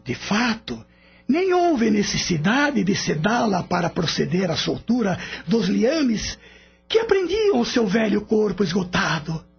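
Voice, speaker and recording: An actor playing a patient, wise spirit mentor in a radio drama, explaining things slowly and solemnly in a husky voice. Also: male voice, high-pitched, sing-song, heavily acted. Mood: patient, reverent. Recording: dull lo-fi audio with background music.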